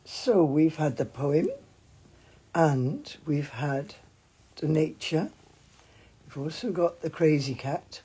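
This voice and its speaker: A woman with a husky, hoarse voice leads a mindfulness livestream at an easy, slow pace. She chats warmly and meanders between topics, speaking at home into a phone. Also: sits low, animated, low-quality recording. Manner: chatty and meandering, gently informal. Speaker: mindfulness teacher. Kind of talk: casual livestream with guided breathing. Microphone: phone, handheld at times.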